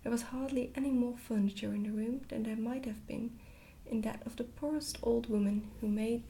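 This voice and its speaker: Woman whispering a storybook asmr style, gentle, bit crackly, on the higher side. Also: steady tone, normal speed. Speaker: storybook reader, ASMR creator. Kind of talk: whispered ASMR book reading.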